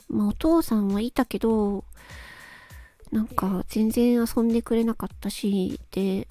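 A woman with a fairly high, soft-toned voice talks to her listeners in an unhurried, reflective monologue. She is polite and gentle, often hesitating as she thinks things through. Music plays behind her. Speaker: solo host, amateur voice actress. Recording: close dry recording with background music. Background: background music.

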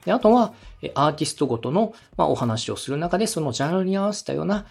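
A man hosting a podcast, politely and methodically giving encouraging production advice in a slightly husky voice. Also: fairly high pitch, lively intonation, fast talker, soft background music. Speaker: podcast host and radio director giving feedback.

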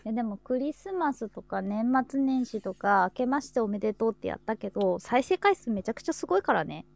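Creaky-voiced woman in joking casual chat. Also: a little high, animated, fairly quick, dry recording. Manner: joking, teasing banter. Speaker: host. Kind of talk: casual chat on an audio livestream.

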